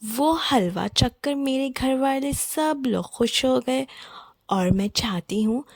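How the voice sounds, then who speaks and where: A woman voicing a friendly, insistent neighbour in a children's cartoon, animated, effusive and full of coaxing encouragement. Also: sits high, slow pace, no room sound, clean studio sound, exaggerated voice. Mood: cheerful, coaxing. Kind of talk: Hindi children's cartoon story acting.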